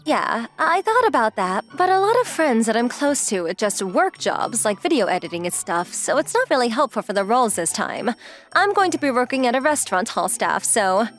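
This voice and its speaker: Young female model, anime dub, played by a woman: breezy, teasing, forward, on the high side with big animated swoops. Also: normal speed. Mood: playful, inquisitive. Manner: forward, playful, casually inquisitive. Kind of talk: anime-style dub acting. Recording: music behind the voice.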